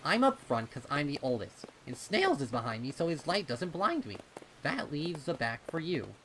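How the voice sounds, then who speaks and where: Man playing a bossy colt, pitched up. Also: very animated, normal speed, a bit bright, a little hiss or hum, low-quality recording. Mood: dismissive. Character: bossy older colt unicorn, dismissive. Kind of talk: storybook character dialogue.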